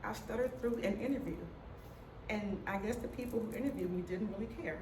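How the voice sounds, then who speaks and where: A woman's voice: medium pitch, a little fast. Middle-aged woman, stutters, candid and funny personal talk; echoey room, mic set at a distance, noisy background. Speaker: speaker telling her story. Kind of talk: personal story talk to an audience. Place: hall before an audience. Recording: roomy sound from a distant mic with noise.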